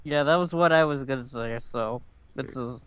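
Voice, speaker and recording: A man's voice: medium pitch, normal speed, clean tone, no room sound. A man chatting casually as a player in a tabletop game. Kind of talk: casual chat.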